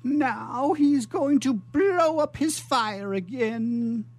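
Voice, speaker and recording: A woman's voice: high-pitched, expressive, extremely slow, a little mellow, full character voice. A woman playing a nervous, superstitious male servant in a radio comedy drama, with a fretful, exaggerated theatrical manner. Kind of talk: comic theatrical radio acting.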